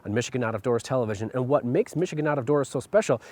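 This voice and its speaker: A man's voice: mid-range voice, very fast, darkish tone. A man hosting an outdoors television show, giving a friendly, upbeat sign-off. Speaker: show host. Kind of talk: casual sign-off to camera.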